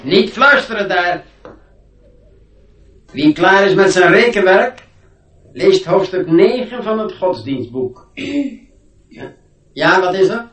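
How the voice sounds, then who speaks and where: Roomy, high-voiced stern schoolmaster. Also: male voice, animated, a bit bright, distant mic, exaggerated voice. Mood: irritated, strict. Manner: pompous, scolding, deliberate. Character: stern, pompous male schoolmaster, scolding and sarcastic. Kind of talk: radio drama acting. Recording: roomy, set back from mic.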